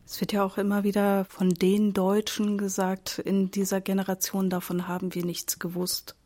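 A woman interviewer asking calm, measured questions. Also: medium pitch.

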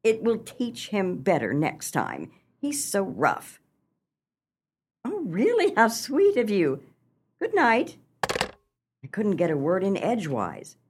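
A woman's voice: medium pitch, huge pitch swings, slow, pauses often, a little hiss or hum, exaggerated voice. A woman playing a scheming, dramatic small-town wife and mother in a comic radio play, with theatrical, genteel delivery. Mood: smug recounting.

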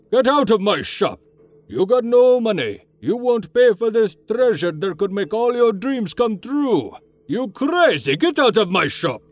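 Old shopkeeper, high-pitched, pushy theatrical salesman for an audiobook story. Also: heavily acted. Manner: theatrical, wheedling, broken-English salesman. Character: old shopkeeper, pushy conspiratorial huckster, quick to anger. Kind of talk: audiobook character voice.

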